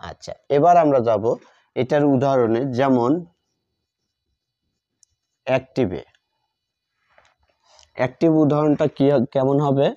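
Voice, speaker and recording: Slow-talking Bengali male teacher, muffled sound. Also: mid-range voice, dark tone. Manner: deliberate, halting, piece-by-piece dictation with repetition. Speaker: English grammar teacher. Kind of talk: step-by-step lesson, explaining while writing. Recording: dull, muffled lo-fi audio.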